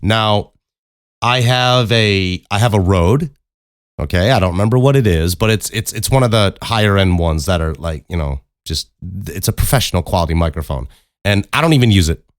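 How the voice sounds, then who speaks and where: A man with a deep voice hosts a casual solo podcast, giving advice in a blunt, emphatic way with plenty of swearing, close on a studio microphone. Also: moderate pace, dry recording. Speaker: podcast host and voice actor. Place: acoustically treated home recording room. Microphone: close studio condenser microphone.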